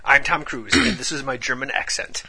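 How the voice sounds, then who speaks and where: A man's voice: medium pitch, a little fast, gravelly, crowd noise. A man hosting a podcast in casual, jokey chat with playful asides. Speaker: podcast host.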